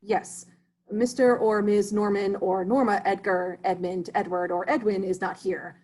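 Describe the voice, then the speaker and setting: Man reading stiff, erudite immigrant teacher, breathy, formal, no articles. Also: high-pitched, level tone, a little mellow. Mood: earnest. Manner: formal, drops articles, precise and measured. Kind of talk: amateur play reading.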